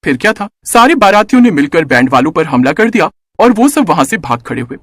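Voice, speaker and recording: A man narrating a children's story in an animated, scripted storytelling style. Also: a little high, brisk, no echo, clean studio sound.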